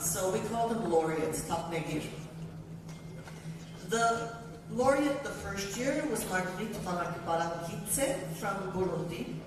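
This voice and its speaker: A woman's voice: medium pitch, background noise. An older woman giving an earnest, emphatic speech to a university audience, introducing a guest in short listing phrases. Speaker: event speaker introducing a guest. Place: large university hall. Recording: echoey hall, voice from far off.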